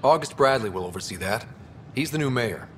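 A man's voice: medium pitch, animated, fairly quick, a bit bright, in a large hall, a little hiss or hum, natural voice. A man playing an earnest, level-headed young human explorer in a video game, speaking plainly and casually.

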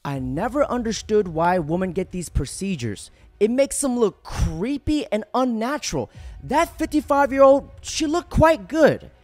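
A man's voice: high-pitched, big pitch swings, unhurried, faint music behind. A man doing crude, sarcastic commentary over viral clips, delivering punchlines from a home studio on a headset mic. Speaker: reaction commentator.

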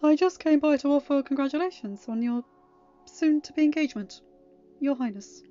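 A woman voicing a deferential gentleman in a story, formal and hesitant, hiding his hurt. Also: high-pitched, expressive, measured pace, pitched above the performer's own voice. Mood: formal, restrained. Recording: muffled lo-fi audio with music.

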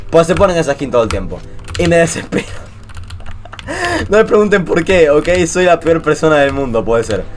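A young man from Venezuela with a mixed Latin American and Spanish accent, commenting casually over his gameplay in an animated, swearing, joking rant. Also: medium pitch. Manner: animated ranting, swears, jokey. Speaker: gaming YouTuber.

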